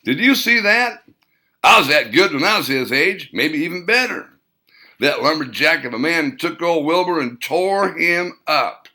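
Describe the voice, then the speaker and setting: Man putting on an old war vet, boastful and shrewd, pitched up high, bright forceful tone, storybook character. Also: expressive, a little slow, full character voice. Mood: gleeful.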